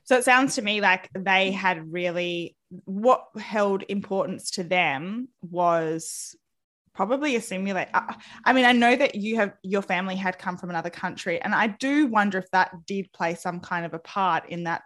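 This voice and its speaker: A woman's voice: mid-range voice, moderate pace. Encouraging female podcast host. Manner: warm, encouraging interviewer who asks follow-up questions. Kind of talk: interview podcast.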